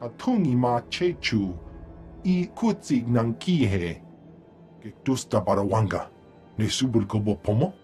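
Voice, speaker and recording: An actor voicing a stern, husky alien master in a video game, talking in an invented alien tongue. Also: male voice, mid-range voice, lively intonation, a little slow, a little mellow, faint music behind. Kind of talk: video game creature dialogue.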